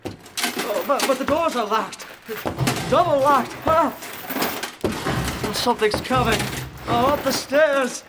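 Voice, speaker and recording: A man's voice: very high voice, slow, long pauses, very noisy. An actor playing a frightened, crotchety old male miser in an old-time radio play, with a stammering, quavering, theatrical delivery. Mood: terrified.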